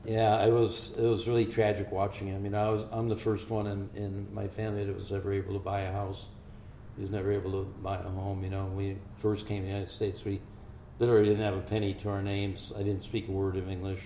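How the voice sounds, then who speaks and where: An older man with a low, gravelly, dark voice explains things in a measured, steady way. He sounds like a physician answering interview questions in an echoey room with faint ambience behind him. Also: a little hiss or hum. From Hungary. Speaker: physician guest.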